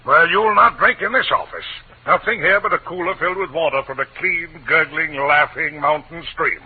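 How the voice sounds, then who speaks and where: An actor playing a pompous, moralizing newspaper boss in a 1940s radio drama, curt and declamatory. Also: male voice, fairly high pitch, normal speed, slightly rough. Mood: brusque, stern. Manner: curt, self-important, declamatory. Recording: tinny, noisy old radio recording.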